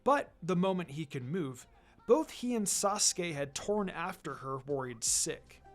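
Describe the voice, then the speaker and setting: Animated man reading a story over music. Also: a little high, unhurried. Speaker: fan fiction reader. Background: background music.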